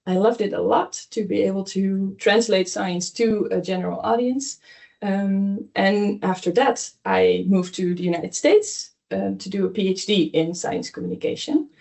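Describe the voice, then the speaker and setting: A middle-aged woman, an education coordinator describing her background in a measured, explanatory way. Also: medium pitch, vocal fry, a little mellow. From Netherlands.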